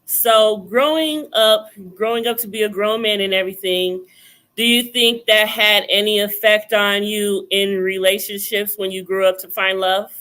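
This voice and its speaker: Bright-voiced woman interviewer. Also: mid-range voice, fairly even intonation, normal speed. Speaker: interviewer, host. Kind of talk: conversational interview questioning.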